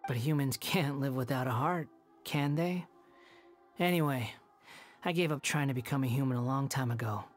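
Low, cool, sardonic young guy, not human, soft game music behind. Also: normal speed. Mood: dismissive. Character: aloof young male puppet wanderer, sardonic. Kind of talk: video game voice acting.

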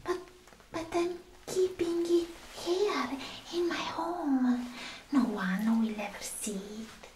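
Woman playing a very slow, whispery lovestruck alien. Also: high voice, fairly even intonation, darkish tone. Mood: coy, defensive. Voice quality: breathy, whispery. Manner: halting, doting, earnest. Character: clingy, lovestruck, naive female alien girlfriend. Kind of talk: soft-spoken ASMR roleplay, half whispered. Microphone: close microphone. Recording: close-miked with audible background hiss.

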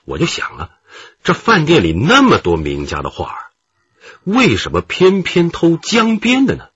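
Veteran detective chief, very husky, swooping intonation, genial, chatty, deliberate, radio drama. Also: male voice, a little high, wildly swooping pitch, fairly bright tone, no room sound, full character voice. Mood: animated recounting. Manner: chatty, deliberate, with drawn-out interjections. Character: veteran male police detective chief, genial, reminiscing, modest. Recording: muffled, dull radio drama recording.

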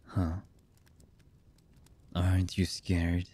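A voice actor playing a cold, embittered villain man in an ASMR roleplay, husky and menacing, with halting delivery that often drops to a murmur. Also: completely flat delivery, dark tone, full character voice. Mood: cold menace. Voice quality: husky, low.

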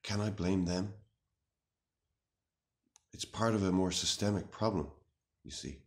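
A man in his thirties playing an anxious, earnest, melodramatic self-styled warrior in a halting, mock-serious monologue to camera. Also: deep voice, creaky. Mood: grave, bewildered. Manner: halting, grave, deliberate delivery with long pauses. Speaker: comic video responder. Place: small room indoors.

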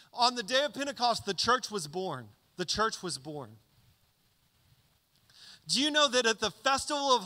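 A man in his thirties preaching a conversational sermon into a handheld mic on a church stage, often checking in with "okay?". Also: sits high. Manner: conversational, animated teaching with frequent "okay?" check-ins. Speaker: pastor. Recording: reverberant church hall.

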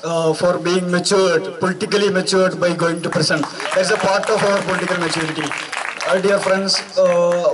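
A man's voice: sits high, background noise. A man in his twenties with an Indian accent giving an emphatic political speech, repeating his phrases for weight. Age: 20s. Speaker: student activist speaker. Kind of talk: impassioned political speech at a rally. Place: outdoor night rally stage. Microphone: handheld microphone through PA. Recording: distant PA sound with crowd around.

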